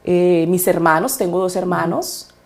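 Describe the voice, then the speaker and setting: A woman's voice: on the low side, measured pace. A woman with a Colombian accent from Cali, a guest on a podcast. She speaks fondly and thoughtfully, with many hesitations. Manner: fond, reflective, hesitant with many fillers. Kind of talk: interview, personal storytelling.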